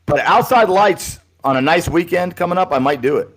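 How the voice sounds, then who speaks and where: A man co-hosting a live high school football podcast, chatting casually and calling his co-host buddy. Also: lively intonation, brisk, a bit bright. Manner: easygoing, chummy, calls co-host buddy.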